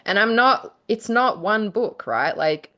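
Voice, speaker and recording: A woman's voice: medium pitch, lively intonation, unhurried, sharp tone. A woman co-hosting a casual podcast chat, often laughing as she talks. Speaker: podcast co-host and writer.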